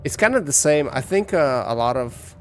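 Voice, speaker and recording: A man's voice: a little high, lively intonation, normal speed. A man from Lithuania with a Lithuanian accent, a game streamer giving casual, rambling and somewhat hesitant commentary and chat. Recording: music behind the voice. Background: music.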